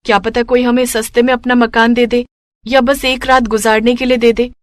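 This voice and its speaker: A woman's voice: fairly high pitch, flat delivery, moderate pace, no echo, clean studio sound, natural voice. A woman voicing a resourceful, hopeful young daughter, earnest and persuasive, in a cartoon story. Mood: worried, determined.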